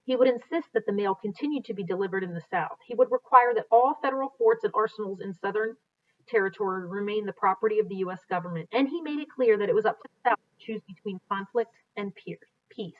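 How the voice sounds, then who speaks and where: An American woman reads aloud like a teacher to students, with an explanatory delivery. Her voice sits at a middling pitch. The recording is slightly dull and lo-fi. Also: quick pace. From United States. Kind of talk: read-aloud of a history book with explanatory asides. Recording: dull, lo-fi sound.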